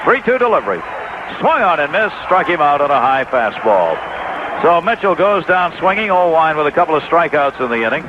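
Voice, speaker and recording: A man's voice: fairly high pitch, sing-song, a little fast. A man calling baseball play-by-play on the radio as an announcer. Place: stadium broadcast booth. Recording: dull vintage AM radio, loud crowd, heavy noise. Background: loud stadium crowd and noise.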